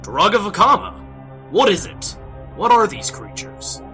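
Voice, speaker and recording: A man's voice: sits high, sing-song, moderate pace, bright, forceful tone, soft background music, full character voice. A man playing an eager, earnest young warrior hero in a fantasy audio drama. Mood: curious, urgent. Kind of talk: fan audio drama acting.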